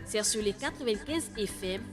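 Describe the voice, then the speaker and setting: A woman voices a scripted station promo in a measured delivery. Clear music plays under her. Key words come back as echoed repeats. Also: medium pitch, lively intonation. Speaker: station jingle announcer.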